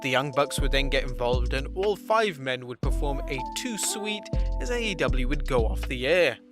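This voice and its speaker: A man's voice: mid-range voice, expressive, normal speed. A man narrating scripted wrestling news with casual asides. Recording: voice-over with loud background music.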